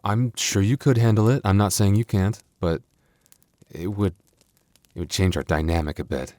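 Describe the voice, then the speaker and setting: Young male werewolf boyfriend , acted by a man: deep, soft, hesitant, protective and affectionate; intimate ASMR roleplay, dry recording. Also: normal speed, dry recording, close to an everyday voice. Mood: sheepish, reassuring.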